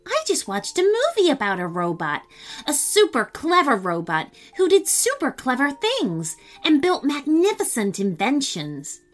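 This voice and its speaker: Woman putting on an eager, high-pitched little girl. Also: measured pace, soft background music, exaggerated voice. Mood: breathless wonder. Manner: earnest, excitable, polite. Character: eager, polite, wide-eyed little girl.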